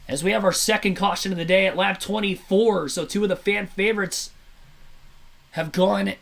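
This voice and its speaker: A man with a hoarse, slightly nasal and raspy voice from sinus congestion, giving energetic, chatty play-by-play commentary on a race from a home streaming setup. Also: high-pitched. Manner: energetic, chatty, self-correcting. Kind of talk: amateur play-by-play commentary over a race broadcast.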